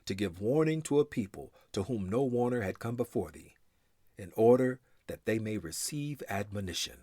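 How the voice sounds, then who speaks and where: A man reading scripture aloud in a solemn, formal and measured recitation style. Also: medium pitch, dry recording, studio quality. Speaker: scripture reader.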